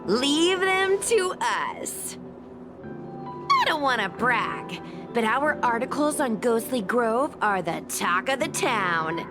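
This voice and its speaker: A woman's voice: on the high side, sing-song, takes it slowly. A woman playing a perky, confident young streamer in an anime-style video game. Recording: background music under the voice.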